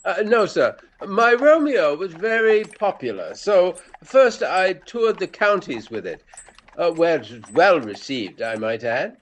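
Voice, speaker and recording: A man playing a pompous high-voiced Regency dandy. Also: big pitch swings, measured pace. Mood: proud. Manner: pompous, formal old-fashioned speech. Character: flamboyant vain Regency English stage actor. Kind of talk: radio play acting.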